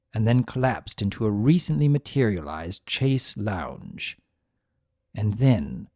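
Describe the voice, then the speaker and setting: Slow, expressive male story narrator. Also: medium pitch, dry recording, clean studio sound. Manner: deliberate, expressive. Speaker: audiobook narrator. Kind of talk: dramatic scripted story narration.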